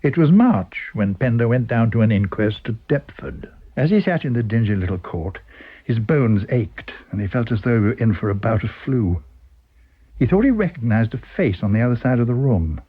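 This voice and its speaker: Expressive British male story reader, slightly husky. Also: lowish voice, phone-quality sound. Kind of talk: dramatic scripted story narration.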